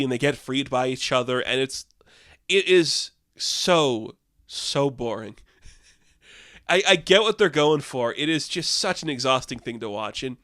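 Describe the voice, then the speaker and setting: A man's voice: mid-range voice, bright, forceful tone, no room sound, studio quality. A young man giving a casual, rambling film review, sarcastic and exasperated, with frequent filler words.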